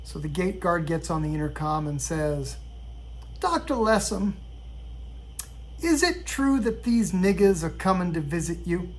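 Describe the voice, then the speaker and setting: A middle-aged man from Sweden telling a personal story in a measured, reflective way. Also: high-pitched, expressive. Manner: reflective, measured, with occasional restarts. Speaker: vlogger.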